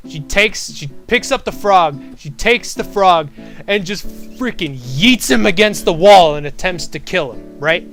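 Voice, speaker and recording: A young man casually improvising a comic retelling of a fairy tale, animated and slangy. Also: sits high, unhurried, faint music behind. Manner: animated slangy delivery, quotes characters. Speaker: storyteller.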